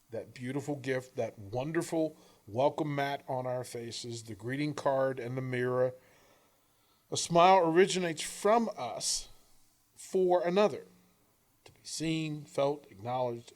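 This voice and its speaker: Man giving an earnest, emphatic inspirational reading. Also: medium pitch. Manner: earnest, emphatic, deliberate phrasing with dramatic pauses. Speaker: author reading own work; coach and radio host. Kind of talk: scripted inspirational audiobook-style reading.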